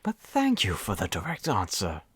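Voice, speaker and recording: A woman voicing a dry, teasing parent creature in an animated drama. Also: very deep voice, moderate pace, very noisy, heavily acted. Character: deep-voiced adult cartoon parent creature, dry, teasing. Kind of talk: animated audio drama acting.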